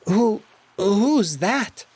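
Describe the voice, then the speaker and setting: A man's voice: sits high, lively intonation, very slow, darkish tone, pitched above the performer's own voice, full character voice. A man voicing a small timid male cat in a visual novel, stammering guiltily and pleading. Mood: startled. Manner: stuttering, breathless pleading.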